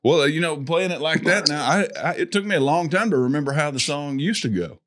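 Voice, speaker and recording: A man's voice: a little high, animated, quick pace, a crowd in the background. Chatty laughing man on a call. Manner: chatty, laughs often, teasing. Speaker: host. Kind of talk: casual chat on a video call. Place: home music room. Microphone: studio mic with headphones.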